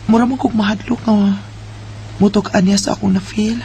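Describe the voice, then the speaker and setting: A woman's voice: medium pitch, steady tone, moderate pace, warm tone, lightly acted. A woman with a Filipino Bisaya accent playing a shy, hesitant young woman in an amateur radio drama. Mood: wistful, longing. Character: Mika, shy, hesitant young woman guarding her feelings. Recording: noisy homemade radio drama recording.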